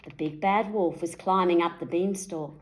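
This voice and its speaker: A woman reading a picture book aloud to children in an animated storytelling style. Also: on the low side, moderate pace, rough recording.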